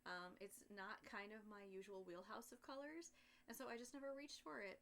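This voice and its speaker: A woman hosting her knitting podcast in casual chat, soft-spoken but pushing to talk louder, tired from a headache and rambling with fillers, close to a computer's built-in mic at home. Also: mid-range voice, fairly even intonation, normal speed, slight background noise. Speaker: podcast host and yarn maker. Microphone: built-in computer microphone, sitting close.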